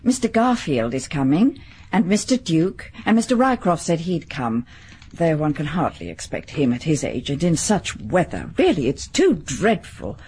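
An actress playing a fussy, genteel middle-aged hostess in a BBC radio drama, gushing and mannered. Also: female voice, deep voice, very animated, moderate pace, darkish tone, slight background noise. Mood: gracious. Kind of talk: 1940s-style BBC radio acting.

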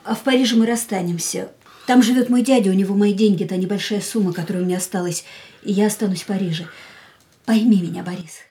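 A woman's voice: medium pitch, quick pace, warm tone, close to an everyday voice. An actress in a radio play playing an anguished but resolute woman leaving the man she loves, earnest and pleading. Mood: defensive. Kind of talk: Russian radio play acting.

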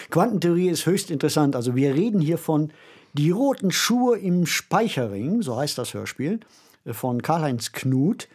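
Husky-voiced man co-hosting a podcast. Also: on the high side, sing-song, unhurried, a little mellow. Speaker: podcast co-host. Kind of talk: conversational presenting of radio plays.

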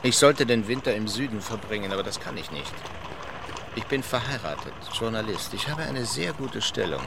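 An actor playing a self-assured, patronizing Parisian journalist in a German radio play, speaking with worldly, instructing ease. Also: male voice, on the low side, expressive, normal speed, creaky, background noise. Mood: complaining, self-satisfied. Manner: worldly, instructing, with small hesitations.

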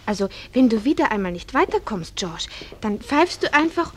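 An actress playing a bright, eager but self-doubting teenage schoolgirl with an earnest, pleading manner in a German radio drama. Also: a little high, big pitch swings, moderate pace, slight background noise. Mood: friendly, helpful.

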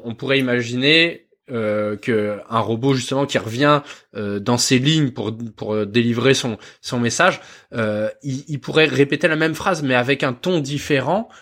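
A man with a mid-pitched voice co-hosts a tech podcast. He talks hesitantly, repeating words and pausing on fillers. His delivery is casual. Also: a bit bright. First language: not English. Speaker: podcast co-host.

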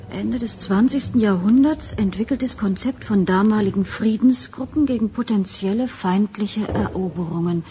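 A woman's voice: mid-range voice, dark tone, slight background noise, lightly acted. An actress playing a direct, questioning woman in a radio drama.

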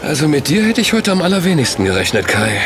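An actor in a German audio drama playing an easygoing, excitable, cheeky sidekick with a casual, slangy manner. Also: male voice, a little high, fast talker, noisy background.

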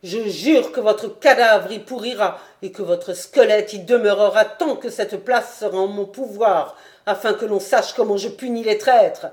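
A woman reading aloud as a furious, proud male baron who shouts in rage. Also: fairly low pitch, moderate pace, echoey room, natural voice.

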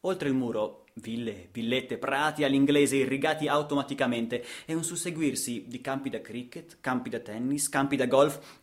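Guy doing radio drama narration, deliberate and theatrical, short punchy phrases. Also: medium pitch.

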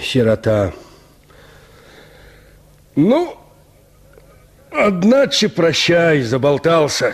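A man's voice: fairly high pitch, heavily acted. An actor in a radio drama playing a vain, callous peasant huntsman who talks in a boastful, dismissive, folksy way. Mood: offhand, cold. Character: vain, callous peasant huntsman scorning his unloved wife. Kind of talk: Russian radio drama acting.

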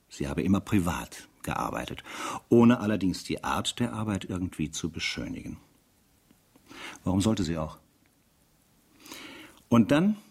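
A man's voice: deep voice, slightly rough, full character voice. An actor playing a sardonic, weary male investigator who narrates a spy case theatrically in a radio play. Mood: dry, insinuating. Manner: sardonic, theatrical, rhetorical asides.

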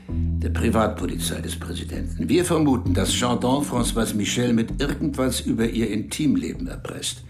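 A man playing a gravelly, commanding spy chief. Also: mid-range voice, close to an everyday voice. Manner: authoritative, instructive briefing tone. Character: commanding male spy chief, cool and controlling. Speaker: intelligence chief. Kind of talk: radio drama acting.

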